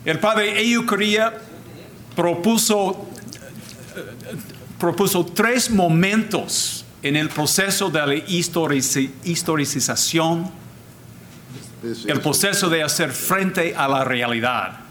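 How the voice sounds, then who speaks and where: An older man, a Jesuit priest whose first language is English, giving a deliberate, formal talk with frequent restarts. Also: fairly high pitch, fairly bright tone, slight background noise. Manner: deliberate, repeats and restarts words, switches to English phrases. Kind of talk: slow formal lecture.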